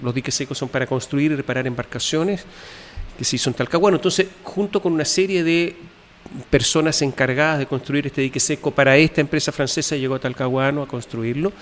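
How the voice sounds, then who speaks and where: A man, a guest telling his family history in an interview, pausing often with fillers and restarts. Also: mid-range voice, long reverb tail, a little hiss or hum. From Chile. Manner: hesitant, many fillers and restarts.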